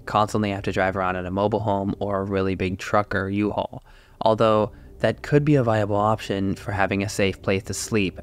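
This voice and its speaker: A man hosting a scripted, analytical video essay, narrating step by step as a voice-over. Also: lowish voice. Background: music behind the voice.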